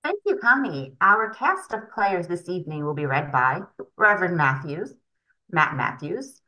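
A woman's voice: on the low side, a little slow, rough recording. A woman co-hosting an audio drama and reading its stage directions over a video call. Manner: expressive, bright. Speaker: co-host and narrator.

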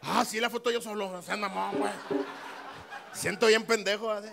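A middle-aged man with a Mexican Spanish accent doing stand-up crowd work on a handheld mic, brash and teasing, swearing and bursting into shouts. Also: high voice, fairly even intonation, normal speed, vocal fry, noisy background. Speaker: stand-up comedian working the crowd. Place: small comedy club stage with live audience.

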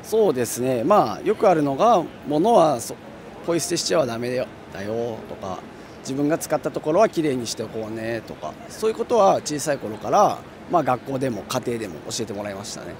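A young man talks fast with lively pitch, earnestly explaining his view. Clear street noise fills the background. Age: young adult. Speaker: interviewee. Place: city street outdoors. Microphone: handheld mic held by interviewer.

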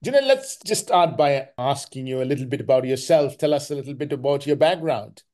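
A man's voice: medium pitch, lively intonation, fairly quick, dark tone. A man hosting a podcast, giving introductions and asking interview questions. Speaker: podcast host.

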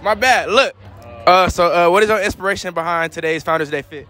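A man's voice: high voice, a little fast, bright, forceful tone. A young man doing casual, slangy street interviews outdoors, often echoing back the answers he gets. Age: young adult. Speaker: street interviewer on a college campus. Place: outdoors on a busy college campus. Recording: outdoor campus chatter.

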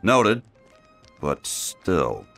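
Heroic toy space ranger, low voice, measured pace, suspicious, commanding, clipped, animated game character. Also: male voice, gravelly, faint music behind, exaggerated voice. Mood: stern, suspicious. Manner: stern, clipped, authoritative. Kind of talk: animated video game dialogue.

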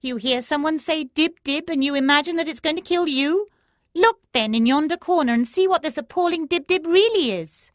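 A shrieking, scornful old crone. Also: female voice, animated, moderate pace, no room sound, clean studio sound. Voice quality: shrill. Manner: screeching, scolding. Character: shrill screeching old crone, scornful and sharp-tongued.

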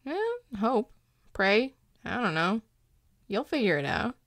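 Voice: mid-range voice, wildly swooping pitch, slow pace, fairly bright tone, dry recording, heavily acted. An actor playing a grumpy but caring werewolf boyfriend in an intimate audio roleplay, dry and exasperated, with sighs. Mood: sarcastic, teasing. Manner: sighing, dry, exasperated, teasing.

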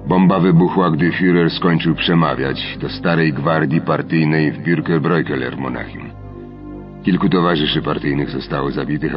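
An actor playing a cold, commanding Nazi security chief in a radio documentary drama. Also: male voice, very deep voice, muffled recording.

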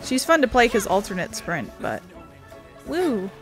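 A woman's voice: fairly high pitch, very animated, a little slow. A woman hosting a gaming video with casual let's play commentary, sometimes reading text aloud. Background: video game music.

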